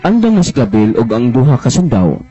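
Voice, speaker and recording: A man's voice: medium pitch, sing-song, brisk, dark tone, breathy edge, highs cut off, faint music behind. A man narrating a Cebuano radio drama in a dramatic scripted style.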